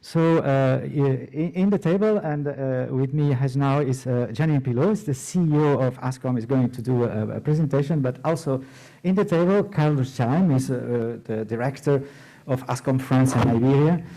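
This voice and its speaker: A man with a Portuguese accent moderating a conference session, halting with many fillers and restarts. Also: mid-range voice, mellow, rough recording. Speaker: event moderator. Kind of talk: hesitant conference hosting.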